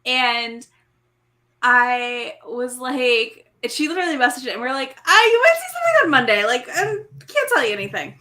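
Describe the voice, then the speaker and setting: A woman chatting casually with friends on a live show, telling stories in an animated way. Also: high-pitched, normal speed. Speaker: guest on a group live show. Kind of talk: casual chat, animated storytelling.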